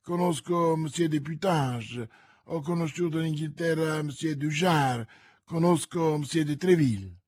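A man's voice: a little high, a little slow, a little mellow, breathy edge, no room sound. A man voicing a scheming noblewoman who talks in a calculated, falsely sweet way in an audiobook. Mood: smooth, guarded. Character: scheming noblewoman, guarded and cunning. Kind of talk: audiobook character reading.

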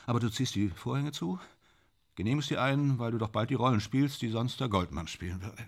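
A man's voice: medium pitch, fairly quick, gravelly. A man in a German radio play playing a sardonic, brooding film actor who drinks hard and talks bitterly and theatrically. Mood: bitter, self-mocking. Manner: sardonic, brooding, theatrical, swinging from muttering to outbursts.

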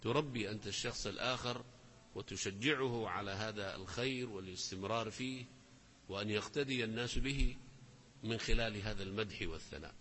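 A man teaching a lesson, explaining lines of poetry and reciting verses slowly with emphasis. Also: on the low side, a bit bright, in a large hall, slight background noise, low-quality recording. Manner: slow, deliberate recitation of verses with rising emphasis.

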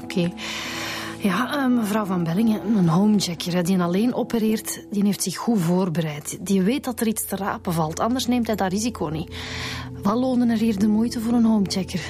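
An actress with a Flemish accent playing a persistent, businesslike police inspector in a naturalistic radio drama, polite but firm. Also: female voice, mid-range voice, brisk, warm tone, faint music behind, close to an everyday voice. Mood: polite, probing.

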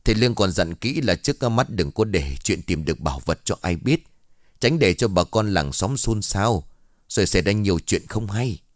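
A man voicing a calm, fair-minded older village man who mediates a dispute. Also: on the low side, dry recording, clean studio sound, close to an everyday voice. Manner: calm, measured, reassuring.

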